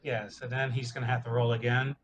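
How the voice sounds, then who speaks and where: A man's voice: mid-range voice, level tone, a little fast, a little hiss or hum. A man in casual game chat as a tabletop player, with an explanatory way of talking.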